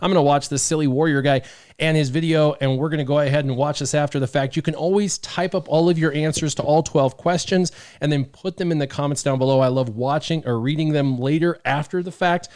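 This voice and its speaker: A man's voice: on the high side, fairly quick, darkish tone. A man hosting a casual livestream, upbeat and talking straight to the viewers. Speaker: livestream host.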